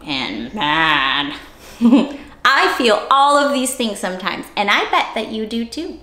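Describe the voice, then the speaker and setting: Female story-time host, very lively pitch swings, unhurried pace, playful and child-directed, room echo. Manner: animated, exaggerated child-directed delivery with gasps and playful questions. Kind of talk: interactive children's read-aloud.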